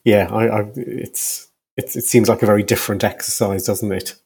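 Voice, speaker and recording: Husky-voiced man interviewing, halting delivery. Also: on the low side, steady tone, darkish tone. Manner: halting, rephrases questions as they go. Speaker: podcast interviewer. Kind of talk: conversational interview.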